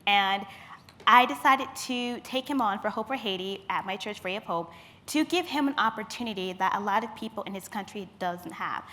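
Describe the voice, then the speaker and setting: A woman's voice: mid-range voice, a bit bright. Young woman giving a personal presentation. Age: 20s. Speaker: youth leader presenting at a forum. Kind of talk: personal presentation with photo slides.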